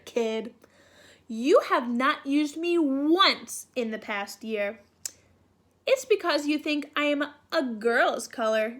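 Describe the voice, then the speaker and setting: Storybook pink crayon, indignant and demanding, high and unhurried, played by a woman. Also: fairly bright tone, exaggerated voice. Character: sassy, fed-up, neglected pink crayon. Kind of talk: children's storybook read-aloud.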